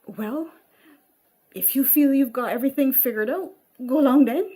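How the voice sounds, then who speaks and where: A woman's voice: sits high, moderate pace, dark tone, husky, pitched above the performer's own voice. A woman voicing a stern, wounded older mother, scolding and indignant, in a dramatic script reading. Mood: hurt.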